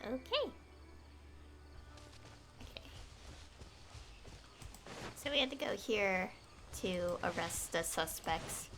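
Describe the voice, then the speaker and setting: A woman's voice: medium pitch, animated, takes it slowly, long pauses, over music. A woman giving casual livestream game commentary, hesitant and thinking aloud. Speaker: game streamer.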